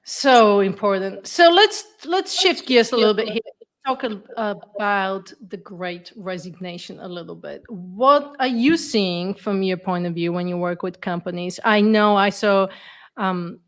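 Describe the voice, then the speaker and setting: Woman host, warm conversational interviewer, mid-range voice. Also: medium pitch, measured pace. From Bulgaria. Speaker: podcast host.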